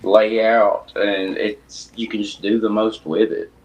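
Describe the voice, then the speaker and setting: A man's voice: fairly low pitch, slow, muffled recording, background noise. Man with a thick Tennessee drawl. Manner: relaxed, rambling storyteller. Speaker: guest. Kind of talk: casual chat.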